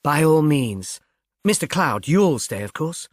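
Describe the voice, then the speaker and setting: A man's voice: a little high, big pitch swings, measured pace, no room sound, clean studio sound. A man voicing a society mother in a storybook, airy and gracious with an affected, genteel manner. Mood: gracious, brisk.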